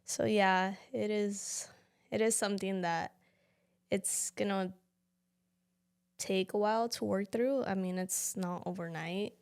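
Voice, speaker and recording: A woman's voice: mid-range voice, fairly even intonation, slow pace, gravelly, pauses often, a little mellow, smooth. Young woman, podcast co-host; thoughtful, casual, filler-heavy talk. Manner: reflective, many fillers like "you know" and "like". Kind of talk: casual reflective chat.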